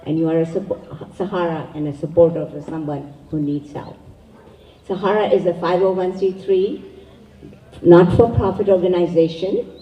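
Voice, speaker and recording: A woman whose native language is Urdu, speaking as an educator and emcee at a community event, giving a measured, formal welcoming speech to an audience. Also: lowish voice, lo-fi sound. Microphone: distant room mic. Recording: echoey hall, voice far from mic.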